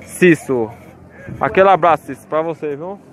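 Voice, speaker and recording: A man's voice: a little high, moderate pace. A man walks through outdoor cattle pens, filming on his phone and chatting to his viewers, repeating his call-outs to them. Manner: repetitive, chatty, keeps calling out to his audience. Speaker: vlogger touring a cattle fair. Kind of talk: casual walkaround commentary to viewers. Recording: outdoor market with crowd chatter.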